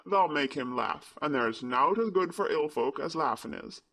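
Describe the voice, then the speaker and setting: A man's voice: on the high side, expressive, normal speed, gravelly, heavily acted. A man voicing a cheerful Yorkshire country boy who speaks in broad dialect. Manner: broad dialect, eager and warm.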